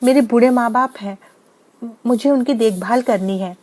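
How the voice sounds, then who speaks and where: A woman's voice: fairly high pitch, moderate pace, warm tone, lightly acted. A woman voicing a devoted young village daughter in a moral-story cartoon, earnest and emphatic. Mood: firm, pleading.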